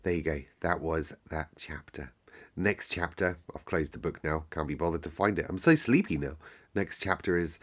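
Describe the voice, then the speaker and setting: A man's voice: a bass voice, normal speed. A man narrating a storybook as host, with casual chatty asides to listeners. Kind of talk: read-aloud storybook narration and casual chat.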